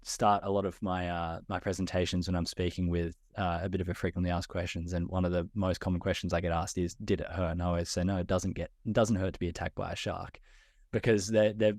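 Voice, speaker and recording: Guest, young Australian man, very low voice, measured and reflective, dry sound. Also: little pitch movement, a little mellow, no room sound. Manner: thoughtful, measured, repeats words as he thinks. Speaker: interview guest, public speaker. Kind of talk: reflective interview answers.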